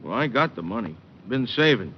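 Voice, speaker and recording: A man's voice: medium pitch, very animated, lightly acted. Man acting a big simple wrestler, muffled old radio. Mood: humble, sad. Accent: American. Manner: plain, slow-witted sincerity. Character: huge, simple, earnest male wrestler, loyal friend. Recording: muffled, noisy vintage radio recording.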